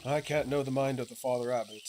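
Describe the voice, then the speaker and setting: A man's voice: mid-range voice, fairly even intonation, quick pace, lightly acted. Stern, resentful monk read by a man. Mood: formal, restrained. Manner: pointed, formal. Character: resentful, stern male abbey monk, formally polite. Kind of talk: reading video game dialogue. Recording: clear sizzling ambient noise behind voice.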